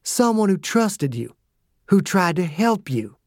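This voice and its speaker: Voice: mid-range voice, sing-song, takes it slowly, dry recording, clean studio sound, voice pushed up, full character voice. A reader voicing a tough, older male fighter who goads his opponent with a sneer. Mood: taunting. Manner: sneering, goading. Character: taunting tough older man, ex-soldier type. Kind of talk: audiobook character voice.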